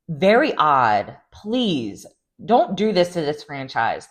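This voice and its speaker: A woman giving a casual, opinionated recap of a reality TV show, chatty and animated, with sarcastic asides. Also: on the low side. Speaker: recap vlogger.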